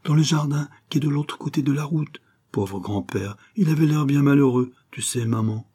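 A man voicing an excited, earnest little boy who talks in simple, insistent phrases in an audiobook story. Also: medium pitch, moderate pace, husky, voice pushed up, close to an everyday voice. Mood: earnest, eager.

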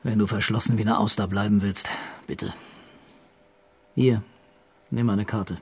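An actor playing a tough, weary police investigator in a German radio crime drama, blunt and interrogating. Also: male voice, fairly low pitch, steady tone, unhurried, vocal fry, breathy edge, exaggerated voice. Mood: stern warning.

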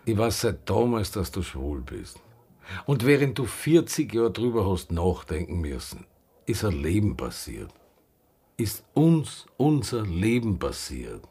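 Husky, defiant older Austrian bar owner. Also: male voice, fairly low pitch, sing-song, unhurried, mellow, heavily acted. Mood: bitter, weary. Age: 60s. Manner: dialect-tinged, impassioned, reflective. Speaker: lead actor and narrator. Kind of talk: radio drama acting and first-person narration.

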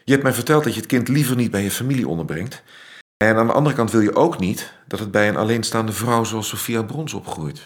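A man's voice: fairly low pitch, a little fast, close to an everyday voice. An actor in a radio play playing an ironic, worldly male astronomer with a dry wit. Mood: wry. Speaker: Max, astronomer. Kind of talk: radio drama acting.

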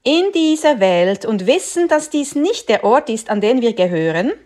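A woman interpreting a sermon phrase by phrase, measured and following the preacher line by line. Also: fairly high pitch, big pitch swings, a little mellow.

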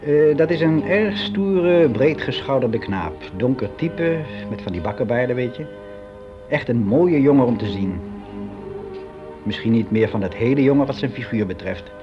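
An actor playing a lonely, timid, regretful office employee of sixty in a radio monologue, speaking in a halting, confiding, colloquial way. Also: male voice, mid-range voice, expressive, music in the background, natural voice. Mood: wistful, regretful. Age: 60s. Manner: halting, confiding, colloquial, with hesitant self-corrections. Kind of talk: conversational radio drama monologue. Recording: dull, lo-fi old radio recording.

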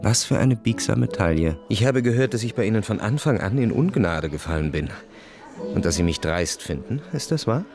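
An actor playing a suave, cynical, bored young aristocrat in a radio drama, narrating and speaking with smooth, ironic detachment. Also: male voice, fairly low pitch, very animated, moderate pace, music in the background. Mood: suave, courting.

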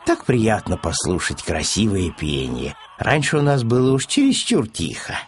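Man playing a kindly old farmer, very animated intonation. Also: lowish voice, normal speed, a little hiss or hum, pitched below the performer's own voice. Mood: soothing. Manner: soothing, patient. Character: Pettson, kindly calm old male farmer.

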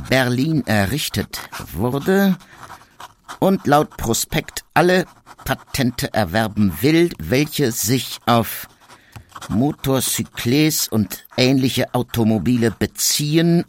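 A man reading historical quotations aloud as a formal nineteenth-century company man, in a solemn, emphatic way. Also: medium pitch. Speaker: voice reading period documents. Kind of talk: slow dramatic reading of historical quotes.

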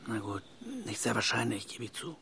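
An actor playing a stressed, suspicious husband in his forties, hushed and urgent, often slipping into whispers in a naturalistic radio drama. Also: fairly low pitch, level tone, normal speed, vocal fry, a crowd in the background. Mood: tense, wary. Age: 40s.

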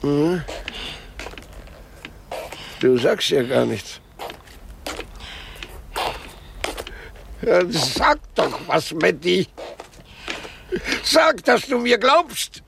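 An actor playing a fretful, needy old husband in a radio drama, speaking in an agitated, querulous, pleading way. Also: male voice, high-pitched, big pitch swings, extremely slow, pauses often, fairly bright tone, a little hiss or hum, full character voice. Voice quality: husky, gravelly.

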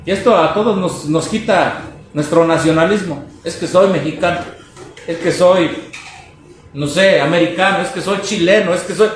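A man's voice: high voice, moderate pace. A man preaching to a congregation, exhorting with rhetorical questions, reading quotations and commenting on them. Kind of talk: fervent sermon to a congregation, reading quotes and commenting. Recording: echoey room, noisy background.

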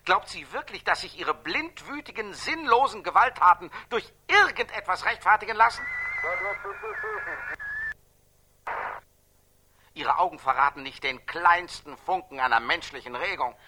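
An indignant male interrogator in a radio play. His voice is very bright and cutting, pitched fairly high for a man. He articulates with care and presses each rhetorical question hard. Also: sing-song, normal speed, few pauses, heavily acted. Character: indignant, insistent male interrogator probing a terrorist's case. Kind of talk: stylized radio drama dialogue.

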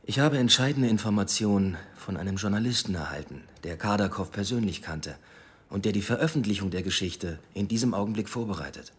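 Low-voiced, guarded police inspector. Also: male voice, a little fast, husky. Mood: guarded, reporting. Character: guarded, ambitious male police inspector in 1920s Berlin. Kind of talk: naturalistic radio drama acting.

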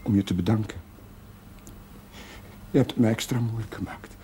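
A man acting a weary, devout priest in a television period drama, speaking in a gentle, evasive manner. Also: fairly low pitch, moderate pace, lightly acted. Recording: noisy old television soundtrack.

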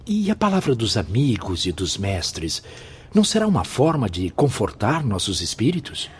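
A Brazilian man playing an anguished, sentimental spirit pupil in a radio drama, with a husky voice and sorrowful, dramatic delivery. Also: lowish voice, wildly swooping pitch, moderate pace, full character voice. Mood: pleading.

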